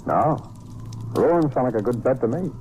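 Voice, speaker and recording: An actor playing an eager, wary American detective's partner in an old-time radio drama, speaking in a brisk, slangy, informal way. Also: male voice, medium pitch, animated, warm tone, natural voice. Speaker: supporting actor as investigator's partner. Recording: noisy vintage radio recording.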